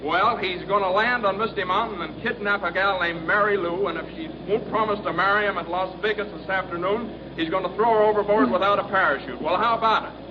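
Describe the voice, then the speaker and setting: A muffled, noisy vintage radio recording of an actor as a brash American pilot in love. The man's voice sits high, and he pushes his courtship along in old Hollywood radio style. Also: brisk, natural voice. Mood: brash, insistent. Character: brash, lovestruck male pilot wooing over radio.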